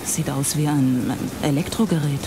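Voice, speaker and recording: An actress playing a confident, teasing police investigator in a German crime audio drama, casual yet professional. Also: female voice, sits low, moderate pace, background noise, lightly acted. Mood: focused. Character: self-assured, playful female police investigator, quick and teasing.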